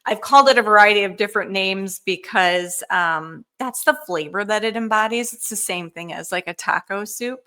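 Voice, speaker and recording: A woman hosting a live canning Q&A, chatty and enthusiastic, with frequent ums and a slightly hoarse voice. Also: mid-range voice, moderate pace, few pauses, bright, forceful tone. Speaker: canning cookbook author hosting a live show. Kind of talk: casual live Q&A cooking demo.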